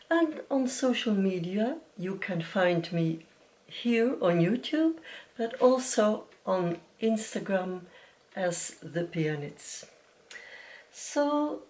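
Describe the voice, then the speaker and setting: A woman's voice: mid-range voice, darkish tone. A woman from Belgium, a native Dutch speaker with a Flemish accent, hosting a casual craft podcast in English and sometimes searching for words. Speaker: podcast host, piano teacher.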